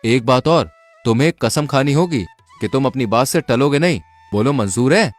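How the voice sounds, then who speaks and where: A man's voice: medium pitch, expressive, moderate pace, soft background music, close to an everyday voice. A man voicing a clever young traveler in a Hindi folk tale, polite and confident.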